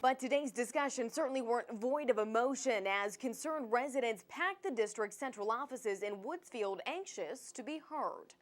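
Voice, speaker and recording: Woman reporter, slightly high voice. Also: normal speed, few pauses. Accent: American. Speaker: TV news reporter. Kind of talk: scripted news narration.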